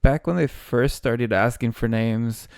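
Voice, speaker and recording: A man co-hosting a casual podcast chat, with a low, soft voice that he struggles to project, speaking close to the mic with laid-back, dry humor. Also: measured pace, creaky, smooth, no room sound. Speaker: podcast co-host.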